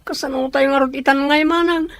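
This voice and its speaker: A woman's voice: high voice, steady tone, a little fast, dry recording, lightly acted. A woman playing an alarmed, pleading younger sibling in a radio drama, with a Filipino accent.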